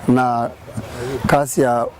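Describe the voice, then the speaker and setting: A man's voice: mid-range voice, flat delivery, slow pace, a crowd in the background. A man with an East African accent giving an unscripted testimonial. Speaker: project beneficiary. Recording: noisy outdoor recording with birds.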